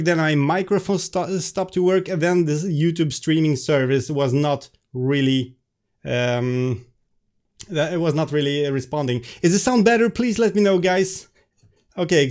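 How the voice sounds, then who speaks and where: A man's voice: a little high, a little mellow. A man with a Swedish accent, chatting on a live stream through a webcam, flustered and apologetic, stumbling over restarts and fillers. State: stressed. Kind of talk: casual live stream chat.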